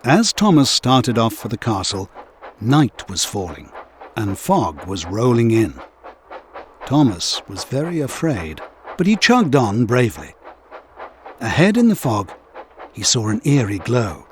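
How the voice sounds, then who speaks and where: A man narrating a scripted children's story in an expressive, measured storytelling manner. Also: medium pitch, a little hiss or hum.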